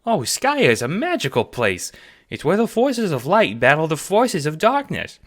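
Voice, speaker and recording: A man voicing an eager, nervous, childlike cat sprite in an audio drama, hesitant and excitable. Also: on the high side, normal speed, pitched above the performer's own voice, full character voice. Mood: eager, proud.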